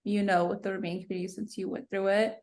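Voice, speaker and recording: A woman hosts a podcast with a chatty, affirming manner. Her voice carries a noticeable gravelly fry, and she sits at a middle pitch as she reflects on the guest's answers. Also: level tone, fast talker, a little mellow. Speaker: podcast co-host. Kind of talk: casual interview hosting.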